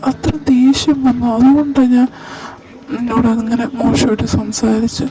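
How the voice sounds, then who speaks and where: A woman voicing an intense, possessive young man who confesses his love in a soft, halting voice. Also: fairly high pitch, steady tone, over a noisy crowd, close to an everyday voice. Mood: tender. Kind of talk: amateur audio drama. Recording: distant mic over clear music.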